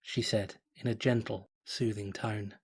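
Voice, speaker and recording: A man reading a fanfiction story aloud in a measured, dramatic storytelling manner. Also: fairly low pitch, steady tone, husky. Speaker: fanfiction reader.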